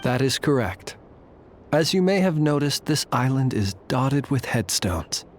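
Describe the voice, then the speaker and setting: An actor playing a formal, measured male guardian of a cemetery in a video game. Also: medium pitch, sing-song, a little hiss or hum. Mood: courteous. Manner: formal, measured, old-fashioned phrasing. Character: courtly male graveyard guardian, calm and formal. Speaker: guardian of the tombs.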